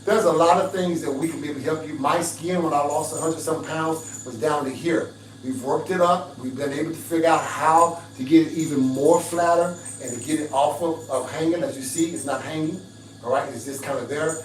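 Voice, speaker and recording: A raspy-voiced middle-aged man on a livestream, talking straight to his viewers in an emphatic, preachy way. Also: fairly high pitch, a little fast, far from the mic, faint music behind. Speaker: fitness livestreamer. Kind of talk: casual livestream pitch to viewers. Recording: roomy livestream audio from off-mic with faint hum.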